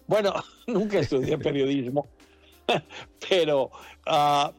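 A man in his late fifties from Mexico, a journalist talking about his past in a relaxed, rambling way with frequent laughs. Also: medium pitch. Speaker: journalist, correspondent. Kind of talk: casual interview chat.

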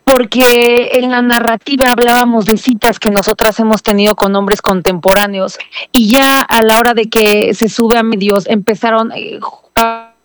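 A woman's voice: a little high, fairly even intonation, normal speed, smooth, no room sound. Woman, 40s, guest on remote link, defensive and apologetic, explains at length. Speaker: lawmaker interviewed as guest. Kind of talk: defensive interview answers. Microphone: remote call link.

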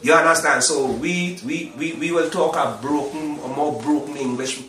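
A man's voice: on the high side, normal speed, noisy background. Trinidadian man with an echoey, distant sound. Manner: repeats words, asks "you understand?" often. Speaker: interview guest, singer. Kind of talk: casual interview chat. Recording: echoey room, mic at a distance.

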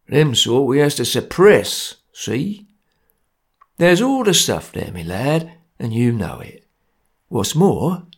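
Gruff tough guy, blunt and bullying, gravelly rasp, as a narrator puts him on. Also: medium pitch, heavily acted. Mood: menacing. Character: tough, menacing Cockney ringleader.

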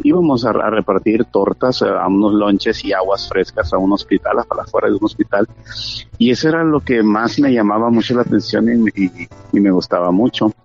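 A man's voice: on the low side, creaky. A man in his thirties with a Mexican accent, earnestly telling his church testimony in an interview, with many fillers and restarts. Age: 30s. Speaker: interview guest. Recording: dull lo-fi sound with faint music.

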